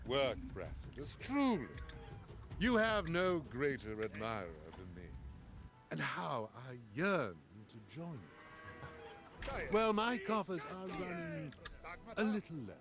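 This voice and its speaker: An actor playing a wily, greedy Macedonian king, ingratiating and insincere in his flattery, in a game cutscene. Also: a little high, takes it slowly, music in the background, exaggerated voice. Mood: oily flattery. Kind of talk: dramatic video game cutscene acting.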